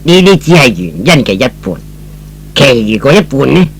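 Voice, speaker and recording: Man acting a coaxing older chairman, lively intonation. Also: medium pitch, normal speed, a little hiss or hum, low-quality recording. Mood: probing, coaxing. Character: probing, paternal older male company chairman. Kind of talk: old-fashioned Cantonese radio drama acting.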